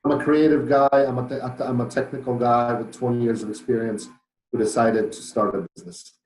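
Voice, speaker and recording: A man's voice: mid-range voice, fairly even intonation, brisk, a little mellow, echoey room, low-quality recording. A middle-aged man with a Polish accent, a podcast guest who talks thoughtfully with many fillers and restarts. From Poland. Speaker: guest, business owner. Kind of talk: podcast interview.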